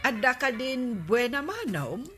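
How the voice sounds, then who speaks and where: An actress with a Filipino accent playing a neighbourly village auntie who haggles, in a radio comedy drama. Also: female voice, on the high side, sing-song, unhurried, music in the background.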